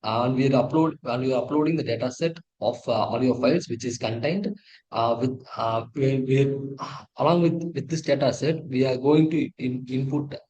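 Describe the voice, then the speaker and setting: A man with an Indian accent presenting a technical project walkthrough in a halting, repetitive way, often correcting himself. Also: medium pitch, a little mellow, lo-fi sound. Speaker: presenter of an engineering project demo. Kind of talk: tutorial walkthrough, partly read from slides.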